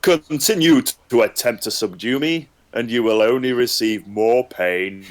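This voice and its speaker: A man's voice: mid-range voice, normal speed, natural voice. A man voicing a possessed male fairy who has turned cold and threatening. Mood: menacing. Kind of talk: amateur online table read.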